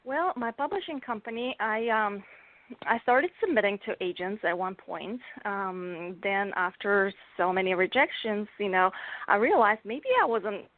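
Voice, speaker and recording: A Bulgarian-born woman, a native Bulgarian speaker, tells her story as an interview guest in a relaxed, rambling way, with frequent ums and small laughs. Her voice sits at a middle pitch. The sound is dry and thin, like a phone call. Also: a little slow, no room sound. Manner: chatty, laughs often, many ums and restarts. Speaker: guest, children's author. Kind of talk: casual interview chat. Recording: dry tinny phone-like line.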